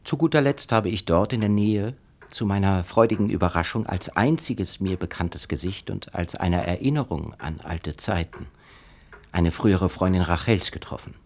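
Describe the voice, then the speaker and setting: A gentleman friend in a literary radio drama. His voice sits very deep. He recounts things in a composed, measured, conversational manner. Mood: composed, casual.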